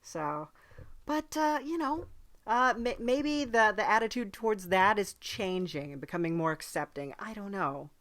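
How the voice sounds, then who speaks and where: A woman hosting a podcast in casual chat, with a dry, playful deadpan humour. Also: medium pitch, normal speed. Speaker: podcast host.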